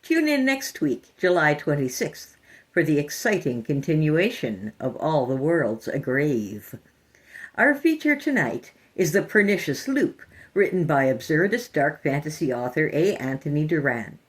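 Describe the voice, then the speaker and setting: Very low-voiced woman narrating fiction. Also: big pitch swings, a little slow. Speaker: podcast host and story narrator. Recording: faint music behind. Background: music.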